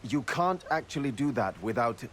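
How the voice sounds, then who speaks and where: A man's voice: mid-range voice, expressive, normal speed, slight background noise, natural voice. A man voicing a fussy, exasperated city official in a fantasy video game, formal, dry and pedantic. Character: stuffy, exasperated male city seneschal, fussy about rules.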